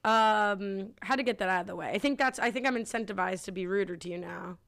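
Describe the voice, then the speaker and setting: A woman's voice: medium pitch, vocal fry, a bit bright. A woman co-hosting a podcast, chatting casually about a TV show with a wry, teasing manner. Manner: wry, teasing, quick asides. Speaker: podcast co-host.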